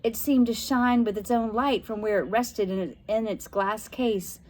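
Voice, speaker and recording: A woman reading a spooky children's novel aloud, narrating dramatically with expressive, suspenseful, deliberate phrasing. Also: on the high side. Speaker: storybook reader.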